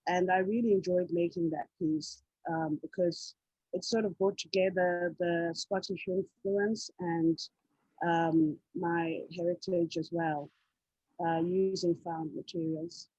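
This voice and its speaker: Husky woman from Zimbabwe on a call. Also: fairly low pitch, slow pace, mellow, dry recording, dull audio. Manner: hesitant, many fillers. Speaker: host and artist. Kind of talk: casual video call talk. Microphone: video call.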